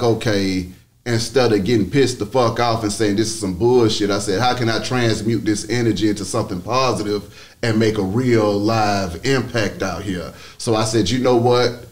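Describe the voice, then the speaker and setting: A man around his forties with a Southern US accent, telling stories as a radio DJ in a podcast interview, casual and slangy, on a close studio mic. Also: on the low side. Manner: casual, slangy, frequent swearing. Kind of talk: animated podcast storytelling.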